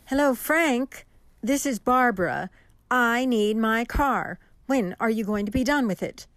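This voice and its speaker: A woman's voice: fairly high pitch, a little slow, no room sound, close to an everyday voice. A woman playing a haughty, penny-pinching customer, curt and scolding, in a radio mystery drama. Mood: curt, dismissive.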